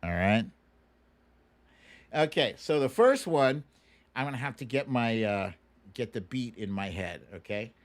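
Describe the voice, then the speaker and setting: Middle aged american guy doing a casual livestream, pitch jumps all over, dry close sound, opinionated, repeats stuff. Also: sing-song, measured pace, pauses often, dry recording. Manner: informal, opinionated, self-deprecating, often repeats words for emphasis. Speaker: livestream host.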